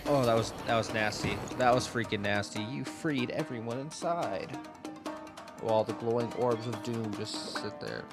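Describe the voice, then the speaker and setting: A man streaming a video game with excited, slangy commentary, playful sound effects and repeated hype phrases. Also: medium pitch, normal speed. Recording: game music behind the voice. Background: video game music and effects.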